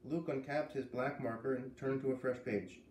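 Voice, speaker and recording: A man's voice: medium pitch, fairly even intonation, normal speed, a little mellow. Man reading aloud in a roomy space. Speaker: storyteller reading a children's novel aloud. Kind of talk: read-aloud narration with casual asides. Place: living room by a fireplace. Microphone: camera a few metres away. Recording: roomy, distant camera mic with faint noise.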